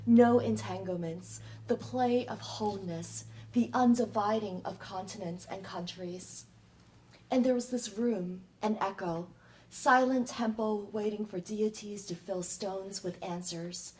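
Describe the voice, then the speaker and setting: A woman reciting poetry live with solemn, deliberate phrasing, recorded with faint room noise. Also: mid-range voice, a little mellow, low-quality recording. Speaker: poet and performer in an ensemble. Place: public library event before an audience.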